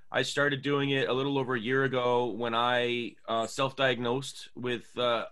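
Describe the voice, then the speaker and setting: Canadian guy hosting a laid-back podcast chat, pretty bright forceful voice. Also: mid-range voice. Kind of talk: casual conversational podcast hosting with pauses.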